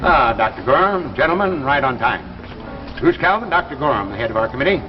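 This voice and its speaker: An actor playing a firm, persuasive recruiter for a secret space project in a 1950s science fiction drama, measured, grave and insistent. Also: male voice, medium pitch, big pitch swings, close to an everyday voice. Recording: echoing, dull vintage 1950s broadcast, faint music.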